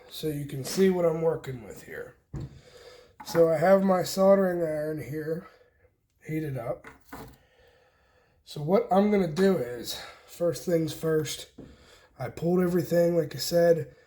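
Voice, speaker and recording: A man's voice: on the high side, a little slow, dark tone. A man hosting a casual hands-on DIY tutorial, explaining informally step by step in short pieces as he works. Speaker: host of a DIY homestead channel, demonstrating wiring.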